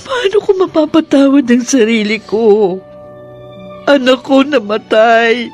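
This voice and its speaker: A woman's voice: fairly high pitch, expressive, unhurried, a little mellow. An actress with a Filipino accent playing a sorrowful, guilt-ridden young mother, plaintive, in a radio drama. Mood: tearful guilt. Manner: tearful, plaintive. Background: music.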